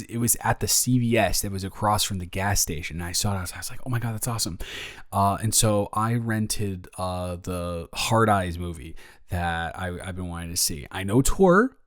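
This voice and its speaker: Man playing a low-voiced, rambling, caring young roommate. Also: no room sound, clean studio sound, lightly acted. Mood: playful, excited. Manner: rambling, halting, conversational, teasing. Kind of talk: improvised audio roleplay, casual and intimate.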